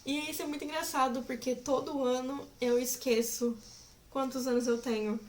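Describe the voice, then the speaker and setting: Chatty, giggly young woman with a higher voice. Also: steady tone, normal speed, darkish tone, a little hiss or hum. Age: 20s. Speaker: vlogger. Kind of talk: casual chat to camera.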